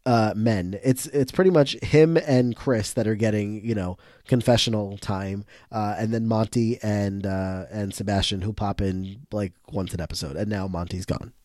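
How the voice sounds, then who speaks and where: A man co-hosting a podcast in casual recap chat, sounding stuffy and tired, talking in a relaxed way that often trails off quietly. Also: fairly low pitch, normal speed, hardly any pauses, dry recording, studio quality. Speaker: podcast co-host.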